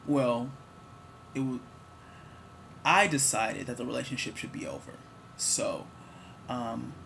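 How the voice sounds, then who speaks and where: A man's voice: mid-range voice, fairly bright tone, slight background noise. A man in his twenties, answering viewer questions in a candid, rambling, joking way. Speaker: vlogger. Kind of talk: casual talk to camera.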